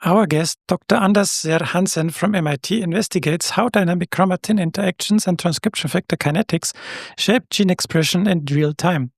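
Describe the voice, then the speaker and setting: A man hosting a science podcast who reads a scripted introduction and then asks questions in a measured way, with some fillers. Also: high-pitched, vocal fry, no room sound, studio quality. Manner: measured, uses fillers when asking questions. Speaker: podcast host.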